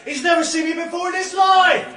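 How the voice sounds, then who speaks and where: A hysterical man on trial, ranting and protesting in a very high, piercing voice. He declaims melodramatically in a radio comedy, heard some way off in an echoey, crowded courtroom. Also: fairly even intonation, a little fast, bright, forceful tone, raised voice, distant mic, some chatter behind. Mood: outraged. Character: outraged, ranting male defendant protesting his innocence. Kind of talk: radio comedy drama acting.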